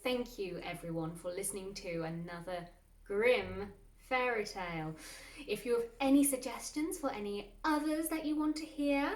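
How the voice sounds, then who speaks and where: A woman narrating a storybook fairy tale as host, in a measured, deliberate storytelling manner. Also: mid-range voice, expressive. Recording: roomy, distant mic with music underneath. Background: classical music.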